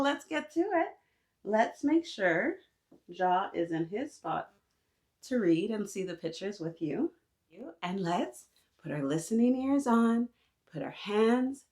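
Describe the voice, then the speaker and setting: A woman hosting a picture book read-aloud for young children, encouraging and sing-song, often putting questions to her listeners. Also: a little high.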